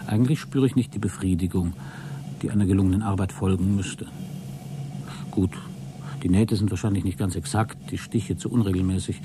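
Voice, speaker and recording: An actor playing a deluded, exhausted astronaut who keeps a logbook in a radio play, halting, self-justifying and grandiose. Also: male voice, deep voice, fairly even intonation, noisy background, natural voice. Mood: weary, uneasy. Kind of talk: dramatic radio play monologue.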